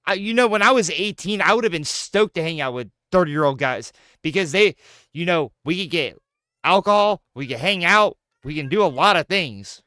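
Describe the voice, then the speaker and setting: A man's voice: a little high, moderate pace, dry recording. A man in his thirties, a gaming streamer chatting casually with jokes, swearing and playful banter. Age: 30s. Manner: joking, profane, animated banter. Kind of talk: casual chat while gaming.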